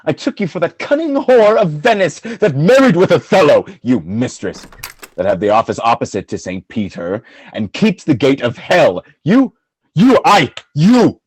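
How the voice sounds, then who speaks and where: An actor playing a jealous, tormented lord and husband in a Shakespearean radio play, theatrical and volatile, with a husky voice. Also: male voice, on the high side, big pitch swings, moderate pace, no echo. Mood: scornful, tormented. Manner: theatrical, volatile, swinging between quiet and fury.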